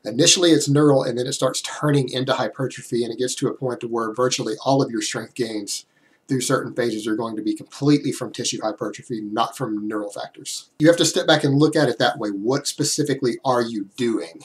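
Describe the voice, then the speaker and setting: A man in his thirties, a little hoarse from a cold, explaining fitness science directly to a webcam in a small room at home. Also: medium pitch, a little fast. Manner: direct, explanatory, talks with hands. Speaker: fitness YouTuber. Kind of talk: explanatory vlog to camera.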